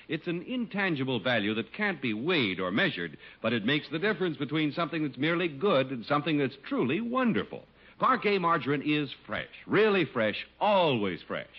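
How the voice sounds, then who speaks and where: A man's voice: medium pitch, husky. A man announcing and reading adverts in an emphatic, polished 1950s radio salesman style. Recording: muffled 1950s radio recording.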